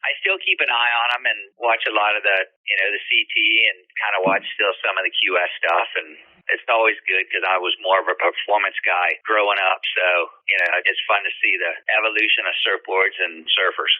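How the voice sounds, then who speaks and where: A man's voice: on the low side, brisk. An older man from the Bahamas who shapes surfboards, answering interview questions casually and loosely, with plenty of fillers and restarts. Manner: relaxed, rambling with fillers and restarts. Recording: tinny phone-like line.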